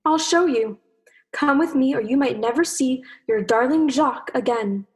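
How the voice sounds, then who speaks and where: Give the radio play an indignant female fish, acted by a woman. Her voice is very high, and she speaks insistently, with menace under every claim. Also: normal speed, lightly acted. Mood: menacing. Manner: insistent, threatening. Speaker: drama student acting.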